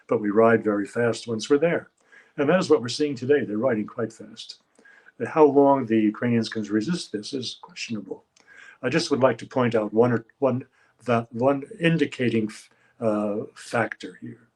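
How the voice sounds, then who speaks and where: A man in his seventies, a guest analyst giving measured expert commentary, often pausing with "uh" as he searches for words. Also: mid-range voice, a little mellow, breathy edge. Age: 70s. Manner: measured, halting, with frequent uh fillers.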